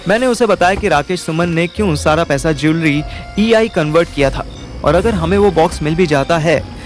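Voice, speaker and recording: Man acting as young male schemer, Indian accent, slightly high voice, wry colloquial storytelling, audio drama, prominent music behind. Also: normal speed, lightly acted. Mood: wry narrating. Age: young adult. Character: young male schemer narrating his detective venture, wry, placating. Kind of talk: first-person audio drama narration and dialogue in Hindi-English mix.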